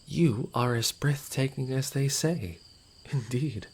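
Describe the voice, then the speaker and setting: A man's voice: mid-range voice, slow, darkish tone, lightly acted. A man playing a mocking, theatrical villain in a fantasy audio drama, sardonic and mock-courteous. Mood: mocking, flattering. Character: mocking, theatrical male villain, suavely amused rival. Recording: noisy night ambience with insects.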